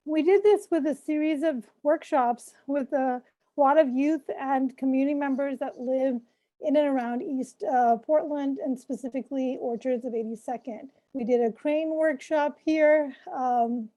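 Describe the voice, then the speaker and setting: A woman who grew up in Pakistan, an artist and mentor, presenting her work in a halting way with many fillers. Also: sits high, warm tone, poor audio.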